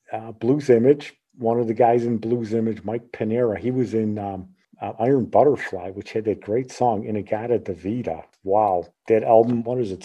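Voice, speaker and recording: A chatty podcast host in his sixties drifts from one nostalgic aside to the next. His voice is mellow and dark-toned, sitting at an ordinary pitch for a man. He talks casually, like he's telling stories over coffee. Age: 60s. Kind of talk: casual reminiscing podcast chat.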